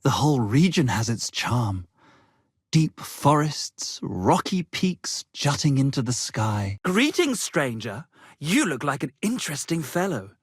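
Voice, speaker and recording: An actor playing a pompous, flamboyant medieval poet and tavern musician in a video game, speaking in a florid, theatrical manner. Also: male voice, mid-range voice, sing-song, measured pace, a little hiss or hum. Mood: genial, pompous. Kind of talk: theatrical game character dialogue.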